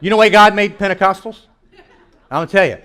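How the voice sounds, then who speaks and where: A man doing stand-up comedy storytelling to a live audience, animated and acting out reactions and dialogue as he goes. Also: high voice, fast. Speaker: comedian and keynote speaker. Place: church hall in front of a live audience. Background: audience laughter.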